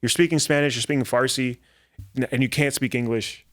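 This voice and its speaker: A man's voice: medium pitch, fairly even intonation, brisk, a bit bright. A man who is a guest comedian, chatting casually on a podcast and telling loose, rambling stories full of fillers.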